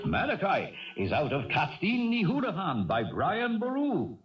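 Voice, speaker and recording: Radio drama role: small down-on-his-luck Irish horseman, hot-tempered blarney, lively swooping delivery, vintage muffled sound. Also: high voice, measured pace, background noise, full character voice. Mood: indignant pride. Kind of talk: 1970s radio drama acting. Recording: dull, distant vintage radio recording.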